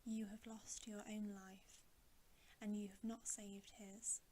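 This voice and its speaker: Lady doing a cruel witch queen from a storybook, whispery n gloating, slow, faint hiss. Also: mid-range voice, lightly acted. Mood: gloating, menacing. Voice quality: breathy whisper. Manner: menacing, commanding, deliberate. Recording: steady audible hiss.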